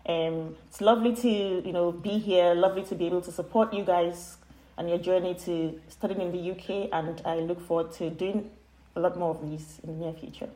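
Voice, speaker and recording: A woman with a Nigerian accent and a slightly low voice, recorded in a roomy, echoey space. She talks conversationally as a podcast guest, in an informal, chatty way with hesitant phrasing. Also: steady tone, fairly quick, dark tone. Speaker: guest, university postgraduate admissions officer.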